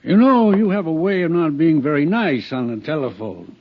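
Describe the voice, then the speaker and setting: An actor playing a curt, defensive veterinarian in a 1950s radio drama. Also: male voice, on the high side, animated, moderate pace, no room sound. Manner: curt, irritated, evasive. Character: prickly defensive male veterinarian. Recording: dull, dry old radio recording.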